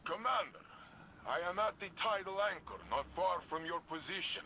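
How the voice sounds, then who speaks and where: An intense game warrior reporting from the field. Her delivery is terse and formal with wide swings in pitch, against clear engine-like noise. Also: animated, normal speed, bright, forceful tone. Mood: watchful. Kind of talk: video game radio chatter.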